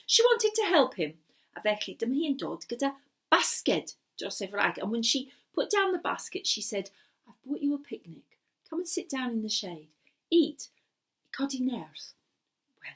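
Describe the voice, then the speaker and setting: Animated woman storyteller, high-pitched. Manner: dramatic, repeats phrases across both languages. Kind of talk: bilingual Welsh and English storytelling for an audience.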